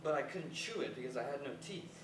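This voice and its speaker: An actor in a staged play reading, playing an earnest, hesitant, reflective young dropout in naturalistic dialogue. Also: male voice, a little high, expressive, quick pace, close to an everyday voice. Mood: troubled, recounting. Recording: echoey, distant, noisy live stage recording.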